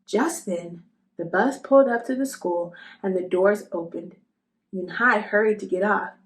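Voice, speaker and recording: A woman narrating a children's storybook aloud in an expressive style. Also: mid-range voice, unhurried, low-quality recording. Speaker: storybook reader.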